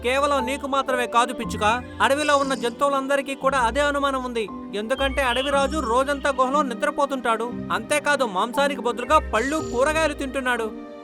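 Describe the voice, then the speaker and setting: Very high-pitched cartoon monkey. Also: male voice, steady tone, fairly quick. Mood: confiding. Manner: questioning, respectful. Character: puzzled, deferential monkey subject of the king. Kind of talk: children's cartoon story dubbing. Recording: clear music bed behind the voice.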